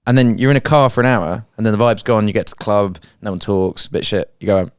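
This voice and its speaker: A man's voice: fairly low pitch, fast talker, clear, resonant tone, dry recording, clean studio sound. A man from England with an English accent, chatting casually as a guest and rambling with frequent restarts. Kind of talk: casual chat.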